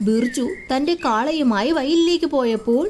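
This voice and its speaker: Lady reading a scripted kids bedtime story, quite high voice. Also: moderate pace, a little mellow, a little hiss or hum. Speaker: narrator. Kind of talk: scripted children's story narration.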